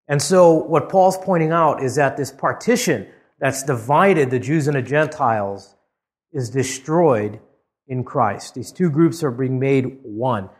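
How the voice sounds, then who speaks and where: A middle-aged man from Hawaii, preaching a sermon. He reads scripture aloud with rising emphasis, then talks conversationally with some fillers. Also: mid-range voice. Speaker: pastor. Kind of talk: expository sermon.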